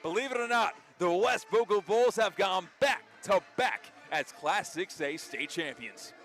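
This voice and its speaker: A man's voice: high voice, expressive, measured pace, a bit bright, faint music behind. A man with an American accent calling a live game as a play-by-play announcer.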